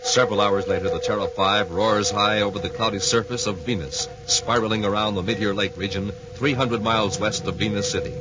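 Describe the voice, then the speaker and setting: A man's voice: lowish voice, fairly even intonation, a little fast, few pauses, music in the background. An American man announcing and narrating in an emphatic, punchy 1950s radio style. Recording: muffled, faintly noisy 1950s radio recording.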